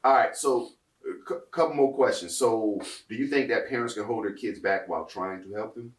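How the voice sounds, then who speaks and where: A man in his forties, a father hosting a casual chat, talking in an emphatic, animated way with frequent laughs. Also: lowish voice, fairly quick, echoey room, recorded from a distance. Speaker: host and father.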